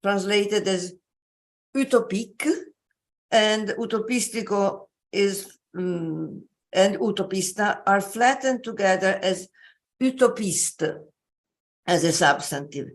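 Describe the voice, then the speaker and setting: Slow, halting woman lecturing. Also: mid-range voice. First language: Italian. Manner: slow, halting, many pauses and fillers. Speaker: invited speaker. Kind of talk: academic lecture. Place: joining remotely.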